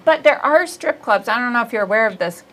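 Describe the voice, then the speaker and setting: A woman's voice: fairly high pitch, animated, fast talker, fairly bright tone, poor audio. A woman in her forties from Ohio with a Midwestern accent, hosting a casual comedic chat, telling bawdy stories with lots of laughter. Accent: Midwestern American. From Ohio, USA. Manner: chatty, laughing, bawdy storyteller. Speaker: comedian host. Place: small studio set, seated indoors.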